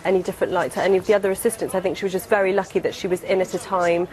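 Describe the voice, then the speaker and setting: Young British woman, lowish voice, noisy recording. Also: little pitch movement, very fast, darkish tone. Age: 20s. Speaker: interview guest. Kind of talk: casual interview conversation.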